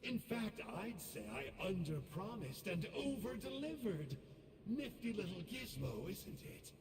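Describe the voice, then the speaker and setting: A smug, playful villain teases the heroes in a high, gravelly voice full of lively swoops. The sound is distant and reverberant, with steady noise behind it. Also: male voice, a little slow, a loud crowd, heavily acted. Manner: smooth, teasing, theatrical. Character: sly, smug male scholar turned traitor, playful villain.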